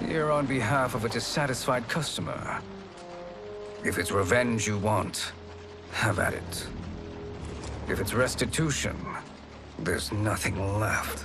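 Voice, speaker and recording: An actor playing a scheming male sorcerer posing as a kindly old peddler, with a smooth, theatrical sales-pitch delivery, in a video game cutscene. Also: slow, pauses often, over music. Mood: wary.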